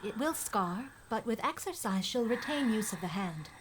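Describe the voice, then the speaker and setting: A woman playing a composed, learned healer in a fantasy audio drama, speaking in formal, measured wording. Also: fairly high pitch, sing-song, noisy background. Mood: calm, serious.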